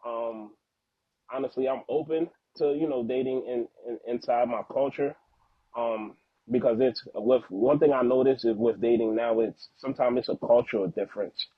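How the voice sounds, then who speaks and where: A man's voice: medium pitch, steady tone, moderate pace, husky, no room sound. A young man of Haitian background whose native language is Haitian Creole, talking earnestly and slangily as a podcast guest and father in a casual interview. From Haitian background, living in the United States. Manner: earnest, slangy, repeats words for emphasis. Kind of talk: casual, heartfelt interview talk. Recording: phone-like narrow-band audio, dry.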